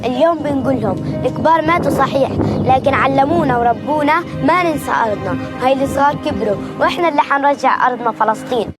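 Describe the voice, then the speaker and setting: A woman's voice: high voice, racing, few pauses. A female voice with a Palestinian Arabic accent, delivering an impassioned scripted recitation. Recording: loud music behind.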